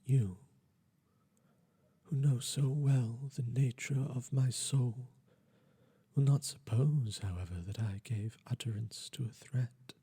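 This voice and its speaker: A man reading a gothic horror tale in a measured, deliberate dramatic narration, at times dropping to a near whisper. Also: medium pitch, mellow, husky. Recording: music behind the voice. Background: music.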